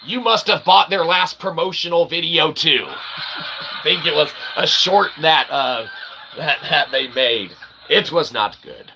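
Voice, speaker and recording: A man hosting a casual, rambling livestream, self-deprecating and excitable, speaking of himself as "we.". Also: a little high, measured pace. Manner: refers to himself as "we". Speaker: livestream host. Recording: clearly noisy background ambience.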